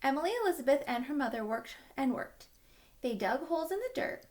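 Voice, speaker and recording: A woman reading a children's storybook aloud, expressive, with drawn-out emphasis for young listeners. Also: high-pitched, a little hiss or hum. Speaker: children's book reader. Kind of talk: animated storybook read-aloud.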